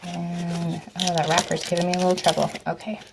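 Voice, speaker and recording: A woman with a cold and a slightly raspy voice, chatting through a live step-by-step craft demo, her voice dropping off as she leans away from the mic to work. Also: fairly low pitch, level tone, fairly quick, very noisy. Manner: chatty, step-by-step instructions with fillers. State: hoarse from a cold. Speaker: craft host.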